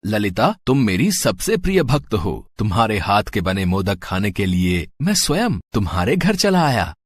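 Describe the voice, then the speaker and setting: A man voicing a gentle, kindly god in an animated story, with a deep, soft, measured delivery. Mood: benevolent. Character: gentle divine boy-god, calm and kindly. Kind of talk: cartoon story voice acting.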